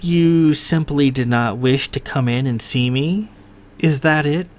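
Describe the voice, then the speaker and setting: A man's voice: fairly high pitch, a little slow, close to an everyday voice. A man voicing a flustered, apologetic young nobleman who stammers politely and is a little out of breath.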